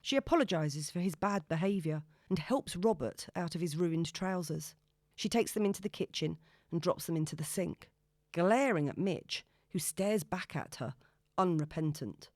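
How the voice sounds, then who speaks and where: A woman's voice: lowish voice, animated, moderate pace, darkish tone, dry recording, studio quality. A woman reading scripted novel narration as an audiobook narrator.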